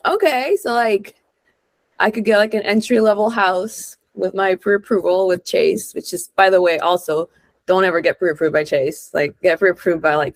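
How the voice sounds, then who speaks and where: A young adult woman from Honduras chatting casually as an interview guest, informal and expressive, peppering her stories with "like". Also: mid-range voice, no echo. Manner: informal and expressive, peppered with "like", acts out quoted lines. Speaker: guest, real estate investor and entrepreneur.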